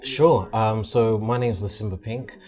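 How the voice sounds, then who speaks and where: A man's voice: lowish voice, unhurried, a crowd in the background. A man with a London accent chatting informally as a podcast guest, a little hesitant in his phrasing. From London, England. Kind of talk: casual interview chat.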